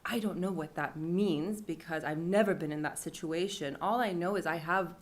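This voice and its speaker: A woman's voice: fairly low pitch, moderate pace. A young woman recounting a personal story at a panel event, casual and conversational with fillers. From Iran. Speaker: panel speaker.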